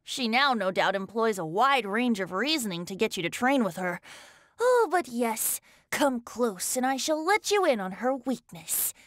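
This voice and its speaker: A carefree young bard god with a high, boyish voice that leaps about in pitch, as a woman plays him. He teases and jokes in a sing-song way. The game recording is close and dry. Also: big pitch swings, measured pace, a bit bright, no echo. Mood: playful. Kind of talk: game character voice line.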